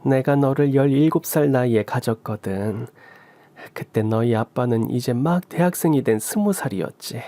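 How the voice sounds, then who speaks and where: A man's voice: medium pitch, no echo, natural voice. A man reading as a remorseful birth mother. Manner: soft, apologetic storytelling. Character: remorseful birth mother, middle-aged woman.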